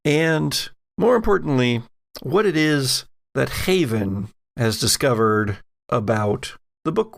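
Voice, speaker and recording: Gravelly man running a tabletop game. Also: medium pitch. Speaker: game master and host. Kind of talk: narrating and refereeing a tabletop game podcast.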